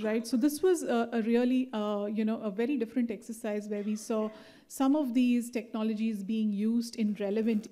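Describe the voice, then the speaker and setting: Mellow-voiced woman giving a conference talk. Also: a little high. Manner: chatty, many fillers and tag questions. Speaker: conference presenter. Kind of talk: live conference presentation.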